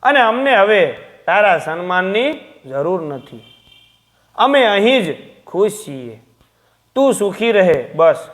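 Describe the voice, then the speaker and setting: A man voicing a dignified elderly mother in a story, speaking quietly and resolutely. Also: high-pitched, big pitch swings, slow pace, pauses often, full character voice. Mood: calm, firm.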